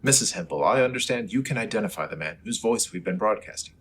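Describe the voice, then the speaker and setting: Radio serial reporter hero, male, brisk and polite, acted by a man, husky, expressive delivery. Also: lowish voice, full character voice, poor audio. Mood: polite, insistent. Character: earnest, brisk, courteous male newspaper reporter. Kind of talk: old-time radio hero acting.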